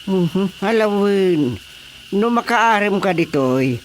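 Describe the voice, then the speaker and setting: A comic older village uncle with an Ilocano accent, bossy and emphatic. His delivery is slow but swings widely in pitch, in radio drama style. A faint noise sits behind the voice. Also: lively intonation. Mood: scheming, advising. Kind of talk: Filipino radio drama comedy acting.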